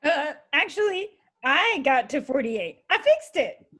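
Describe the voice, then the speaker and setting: A woman's voice: sits high, very animated, unhurried, pushed and bright, full character voice. A woman playing an argumentative young mine worker in a table read. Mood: snarky. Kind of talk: table read of fan fiction.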